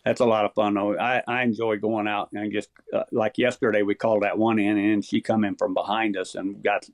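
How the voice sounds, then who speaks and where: A man's voice: on the low side, little pitch movement, fairly quick, dry recording. A man, a champion turkey caller, chatting casually and plainly as a podcast guest. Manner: easygoing, plainspoken, chuckles often.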